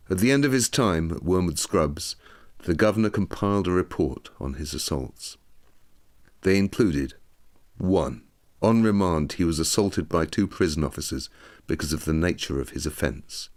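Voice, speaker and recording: A man reading a scripted documentary audiobook in a measured, articulate, expressive way. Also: deep voice. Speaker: audiobook narrator.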